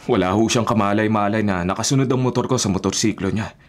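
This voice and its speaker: A man acting an earnest, worried Filipino character with a husky voice in a radio drama. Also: on the low side, fast, close to an everyday voice. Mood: respectful, concerned. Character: earnest, worried man urging others off crime. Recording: radio drama, faint music behind.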